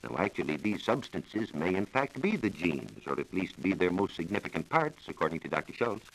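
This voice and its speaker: American guy explaining science on old 1940s radio, lowish voice, really lively intonation, formal and scripted. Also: a little fast, gravelly, husky, low-quality recording. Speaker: science reporter explaining research.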